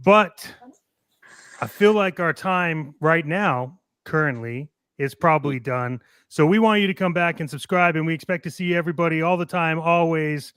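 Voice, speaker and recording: A man's voice: on the high side, normal speed, fairly bright tone, clear, resonant tone, dry recording, clean studio sound. A man hosting a casual livestream, talking to the audience and signing off. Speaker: show host. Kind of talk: casual livestream sign-off talk.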